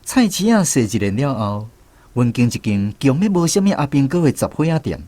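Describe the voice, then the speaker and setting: An actor narrating as a former conscript in a radio drama, telling scripted first-person memories with a Taiwanese accent. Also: male voice, mid-range voice, sing-song, fairly quick, mellow, no room sound, close to an everyday voice. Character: wry former conscript narrating his island army memories. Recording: music bed under narration.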